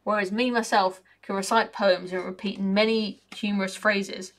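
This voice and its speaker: A woman voicing a cynical, chatty male raven who grumbles in rough, colloquial speech. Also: mid-range voice, little pitch movement, normal speed, close to an everyday voice. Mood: grumbling.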